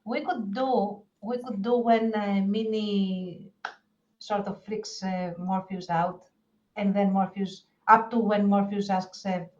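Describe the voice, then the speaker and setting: A woman talks slowly and hesitantly in casual podcast conversation, pausing to find her words. The recording is a little dull and lo-fi. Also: mid-range voice, steady tone, darkish tone, recorded from a distance. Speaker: podcast participant, comic creator.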